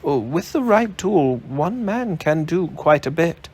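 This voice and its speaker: A man's voice: sits high, big pitch swings, takes it slowly, gravelly, slightly rough, voice pushed up, full character voice. Man voicing a courtly older inventor. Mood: genteel. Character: courtly older male inventor, dryly amused.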